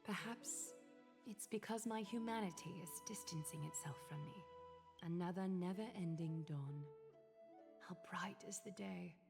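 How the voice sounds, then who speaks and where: A composed, aloof leader of great age for a video game. She speaks in a fairly low voice with formal, measured phrasing and a calculating cool. Long reverb surrounds her, with soft music underneath. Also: vocal fry. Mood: solemn, pensive. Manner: measured, formal, coolly eloquent. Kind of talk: scripted video game voice acting.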